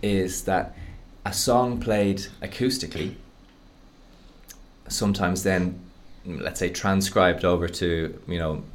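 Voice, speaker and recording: A man's voice: low-pitched, steady tone, slow pace. A man hosting a casual podcast interview, asking curious, easygoing questions with many fillers. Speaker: podcast host.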